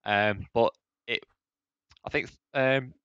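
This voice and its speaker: A man's voice: medium pitch, steady tone, slow, clear, resonant tone, no echo, dull audio. A man in his thirties from England, co-hosting a podcast chat, hesitant and often repeating words as he speaks. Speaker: podcast co-host. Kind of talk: casual chat.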